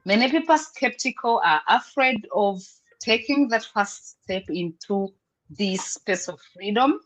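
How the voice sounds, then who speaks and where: A woman's voice: mid-range voice, animated, unhurried, a bit bright, low-quality recording. A woman from Uganda with a Ugandan accent hosting a live online panel over a video call, upbeat, laughing often and switching between English and Luganda. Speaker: host.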